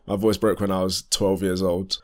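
A young man, a musician chatting casually in an interview, relaxed and full of fillers and restarts. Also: normal speed. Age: young adult. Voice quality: deep voice. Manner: relaxed, rambling, many fillers and restarts, laughs often. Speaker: independent musician guest.

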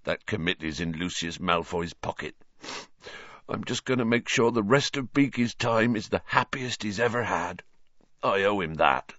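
Man acting a sad, simple, soft-hearted groundskeeper. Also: on the low side, animated, moderate pace, no echo, muffled recording. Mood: sad, resigned. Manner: sad, rustic ungrammatical speech.

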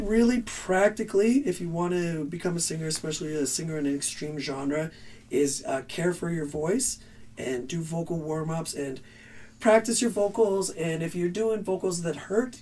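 A raspy-voiced man, a band's vocalist, rambling earnestly through interview advice with restarts. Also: a little high, normal speed, darkish tone, far from the mic. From Canada. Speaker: band vocalist. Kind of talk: casual interview answers.

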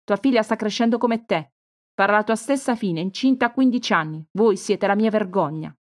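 A woman voicing a harsh, bitter middle-aged mother who shouts threats and insults at her daughter, in a read-aloud story. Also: medium pitch, a little fast, dry recording, studio quality, close to an everyday voice. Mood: shouting. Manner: shouting, contemptuous.

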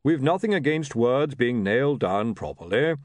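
A man's voice: mid-range voice, lively intonation, normal speed, no echo, voice pushed up, close to an everyday voice. A man voicing a blunt, blustering, impatient chief priest in a fantasy audiobook. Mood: indignant.

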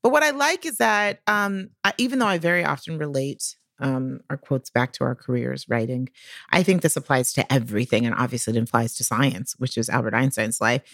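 A woman's voice: very deep voice, brisk, vocal fry, a bit bright, no room sound, studio quality. An American woman co-hosting a podcast, chatting informally and with enthusiasm. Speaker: podcast co-host. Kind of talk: casual podcast chat.

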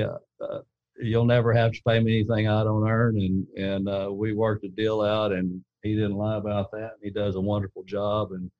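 Deep, flat-voiced man with hollow tone. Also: no echo, lo-fi sound. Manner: rambling, full of restarts and fillers. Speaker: gun company leader. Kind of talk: casual interview storytelling.

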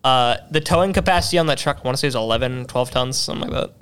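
A man's voice: medium pitch, rapid-fire, a bit bright, clean tone. A young man co-hosting a podcast, telling stories in a rambling, joking way with lots of fillers. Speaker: podcast co-host. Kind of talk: casual chat. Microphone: podcast mic held in hand.

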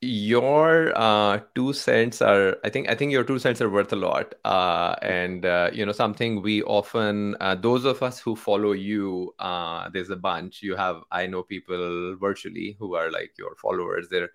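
Host, male, grew up in Pakistan, very low voice, halting delivery full of fillers. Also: steady tone, creaky, a bit bright. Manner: hesitant, many fillers and restarts. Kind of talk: casual podcast conversation.

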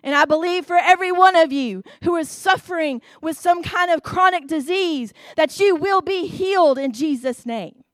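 A woman with a Southern US accent preaching a sermon, stressing her words slowly and with emphasis. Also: extremely high pitch, dry recording.

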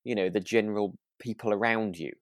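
Man, low pitch, dry sound, casual podcast chat, hesitant, wry. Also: steady tone, brisk, no echo. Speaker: podcast co-host. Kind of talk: relaxed casual chat reviewing a radio drama.

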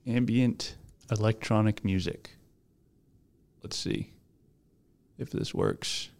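Young male podcast co-host, very low voice, easygoing and jokey. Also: creaky, darkish tone. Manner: laid-back, joking, slow dramatic recitation. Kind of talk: casual chat.

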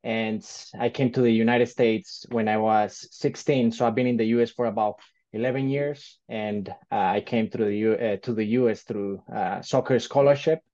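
A man's voice: lowish voice, fairly even intonation, normal speed, dark tone, highs cut off. Hesitant man from Colombia on a call. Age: late 20s. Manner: hesitant, many fillers and restarts. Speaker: podcast guest. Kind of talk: casual storytelling interview. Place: remote call from home.

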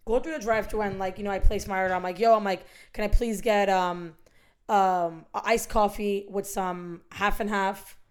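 A young woman hosting a podcast, telling stories in a loud, animated way with frequent bursts of laughter. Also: medium pitch, normal speed. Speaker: podcast host. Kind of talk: casual chat, storytelling.

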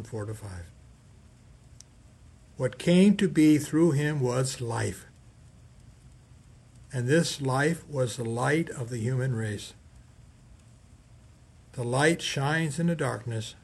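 A man reading a scripted scripture reflection as a priest, measured and deliberate, with emphatic pauses. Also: mid-range voice, darkish tone, husky. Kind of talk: scripted sermon reflection read aloud.

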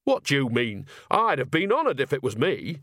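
A man voicing a folksy old country witch in a storybook, chatty, blunt and emphatic, pitched a little up. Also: wildly swooping pitch, moderate pace, a bit bright, no room sound, clean studio sound, heavily acted. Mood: gossipy, frank.

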